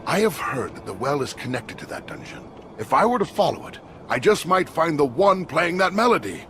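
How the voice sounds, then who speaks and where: An actor playing a formal, wistful samurai guard in a video game, musing aloud in a stately manner. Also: male voice, mid-range voice, very animated, a little fast, a bit bright, slight background noise. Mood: formal, inquisitive. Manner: formal, musing, breathy murmurs rising to firm speech. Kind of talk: video game cutscene acting.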